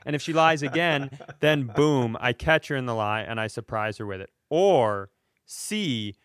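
A man co-hosting a comedy podcast, telling stories in casual banter with animated, mock-serious, sweary rants. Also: mid-range voice, measured pace, smooth, dry recording, clean studio sound. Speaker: podcast co-host.

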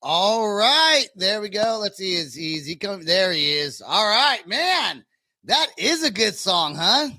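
An American man hosting a casual chat in slangy, bro-heavy talk. His voice is high and very lively in pitch, with a bright, forceful edge. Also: a little slow, dry recording, clean studio sound. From San Francisco Bay Area, California. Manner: slangy, calls people dude and bro. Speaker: talk show host.

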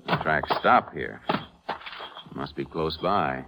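An American actor playing a stern, steady Texas Ranger in a 1950s radio western, terse and businesslike. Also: male voice, rumbling low, takes it slowly, slight background noise, exaggerated voice. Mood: stern, skeptical. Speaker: actor narrating and playing the lead. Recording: vintage 1950s radio drama recording.

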